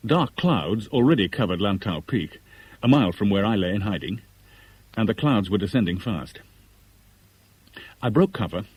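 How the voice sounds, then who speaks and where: A man's voice: fairly low pitch, darkish tone, breathy edge, poor audio. An actor playing a terse, resolute Englishman in a 1970s radio drama, with an English accent and a clipped, serious manner. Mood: tense narration. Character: respectable Englishman, terse, resolute, embittered by love. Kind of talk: 1970s British radio drama acting and first-person narration.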